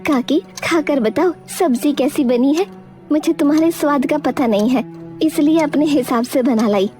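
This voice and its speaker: High-voiced, dutiful young village wife played by a woman. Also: moderate pace, warm tone, faint music behind, lightly acted. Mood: helpful. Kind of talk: Hindi children's cartoon voice acting.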